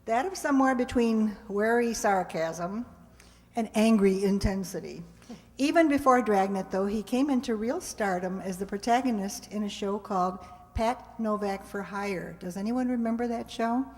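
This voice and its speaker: A woman's voice: mid-range voice, normal speed. A woman host chattily introducing a live radio play, speaking directly to an audience. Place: live stage in a hall with audience. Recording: reverberant hall.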